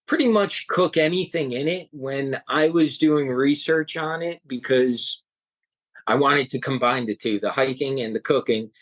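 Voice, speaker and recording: A young man, a guest on a podcast, talking earnestly and haltingly with many restarts. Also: medium pitch, no room sound. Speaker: guest, home cook and hiker. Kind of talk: casual interview chat.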